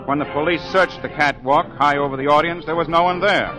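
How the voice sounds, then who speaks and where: A man's voice: on the high side, steady tone, natural voice, poor audio. Actor as earnest man narrating a radio mystery. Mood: suspenseful. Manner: measured, dramatic storytelling delivery. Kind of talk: 1940s radio drama narration and acting. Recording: 1940s radio broadcast with background music.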